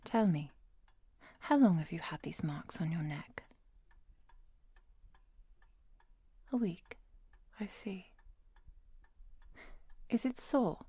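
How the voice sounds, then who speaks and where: Woman acting as soft, husky, composed lady professor. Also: fairly low pitch, lively intonation, heavily acted. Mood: calm, clinical. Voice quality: soft, often whispery. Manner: measured, precise, softly commanding. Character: composed, caring woman professor who secretly hunts vampires. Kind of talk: ASMR roleplay.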